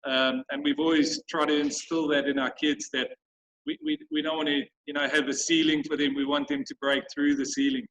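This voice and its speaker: A man with a bright, fairly high voice, talking on a video call with a faint hiss of room noise behind him. He speaks in halting bursts, restarting sentences and repeating words as he goes. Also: level tone, poor audio. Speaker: school sports program organizer. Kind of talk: grateful casual video call chat.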